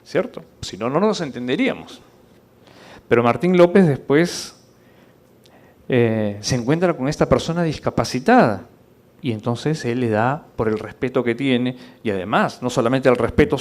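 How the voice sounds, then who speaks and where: A man's voice: medium pitch, lively intonation, normal speed. Man giving an emphatic public lecture. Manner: didactic, rhetorical, checks with listeners through questions. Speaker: conference speaker.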